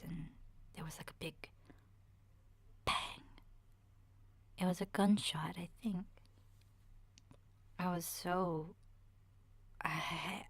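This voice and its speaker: A woman voicing a chatty, nurturing child who survived a zombie apocalypse, soft-spoken and partly whispering, in a hesitant, confiding ASMR roleplay monologue. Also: lowish voice, gravelly, no echo, heavily acted.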